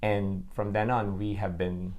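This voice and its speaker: A man in his thirties from the Philippines, a soft-spoken interview guest who gives short, agreeing answers. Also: on the low side, little pitch movement, unhurried. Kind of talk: casual interview chat.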